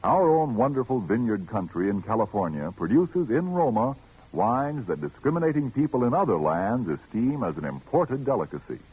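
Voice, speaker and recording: A man reading a 1940s radio wine commercial in a smooth, persuasive manner. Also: mid-range voice, sing-song, normal speed, darkish tone. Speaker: commercial announcer. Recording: dull old radio broadcast with faint music.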